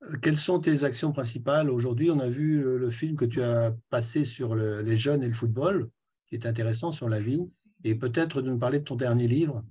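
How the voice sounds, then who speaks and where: A male interviewer with a mid-pitched, somewhat husky voice. He asks his questions hesitantly, stumbling over repeated words before settling into the sentence. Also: brisk, dark tone.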